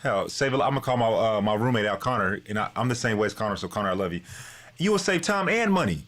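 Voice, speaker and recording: A man's voice: lowish voice, lively intonation, fast, a bit bright. A man hosting a podcast in casual chat, with a laid-back, slangy, familiar way of talking. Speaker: podcast co-host.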